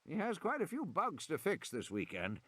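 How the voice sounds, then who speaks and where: Man playing an effusive, lilting old gentleman. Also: a little high, wildly swooping pitch, normal speed, slight background noise, voice pushed up. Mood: genial, insistent. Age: 70s. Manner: insistent, effusive, lingering on words. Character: stubborn, sentimental old man, genial rich host. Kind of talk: audiobook character acting.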